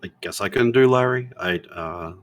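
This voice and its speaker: A man's voice: on the low side, normal speed, no echo. A man chatting casually as a participant in a friends' live stream. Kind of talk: casual, hesitant chat.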